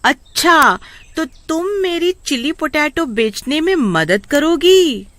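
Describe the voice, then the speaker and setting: Woman playing a high, lively young sister. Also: slow pace, no room sound, heavily acted. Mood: surprised, curious. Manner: lively, sing-song, exclaiming. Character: cheerful, caring young sister selling street food. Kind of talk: animated Hindi story dialogue.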